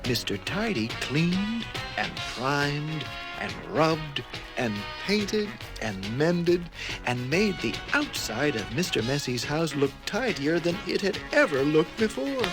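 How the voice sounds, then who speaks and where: A man narrating a scripted children's story in a measured way with dramatic pauses. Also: a little high, very animated. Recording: 1980s read-along record with music.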